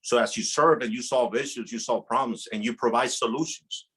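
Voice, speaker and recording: A man's voice: mid-range voice, brisk, breathy edge, rough recording. A man from Rico, in his thirties, telling his story as a podcast guest with earnest energy and frequent restarts. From Puerto Rico. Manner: earnest, animated storytelling with repeated words. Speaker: guest, insurance agency director. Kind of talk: casual podcast interview.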